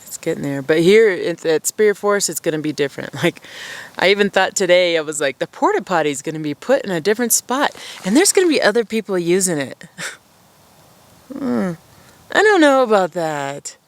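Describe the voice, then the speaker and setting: A woman with a low, smooth and resonant voice talks casually to her viewers from a forest camp. She rambles in a friendly, self-deprecating way. A mic mounted on the camera picks her up outdoors. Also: big pitch swings, brisk, no echo. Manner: rambling, chatty, laughs often, self-deprecating. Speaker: vlogger living at a wilderness camp.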